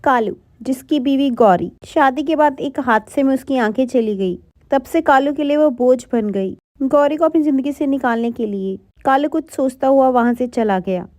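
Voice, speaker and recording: A woman's voice: high voice, moderate pace, few pauses, darkish tone, no echo, clean studio sound. A woman narrating a scripted children's story.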